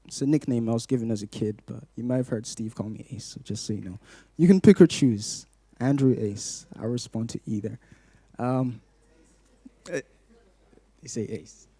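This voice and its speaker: A man in his twenties from Glasgow, born in Malawi, speaking to a congregation as a guest giving testimony, in a measured, poetic way. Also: mid-range voice, dark tone, husky, dry recording. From Glasgow, Scotland, born in Malawi. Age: 20s. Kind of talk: rhythmic spoken-word poetry in places.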